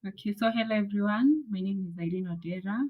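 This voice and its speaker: A woman's voice: mid-range voice, fast talker, phone-quality sound. A woman from Kenya, a master's student answering interview questions in an earnest, passionate way.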